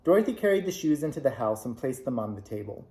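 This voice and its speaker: A man reading a classic children's novel aloud, with clear, expressive storytelling. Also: mid-range voice, fast talker. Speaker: club vice president reading aloud. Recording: echoey room.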